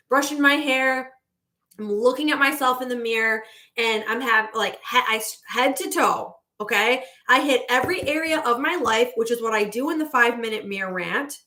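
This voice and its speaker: A woman hosting a casual livestream as a manifestation coach, talking warmly to her viewers and checking in with "okay?" as she goes. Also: high voice. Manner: effusive, repeating "okay?" and reading out viewer comments.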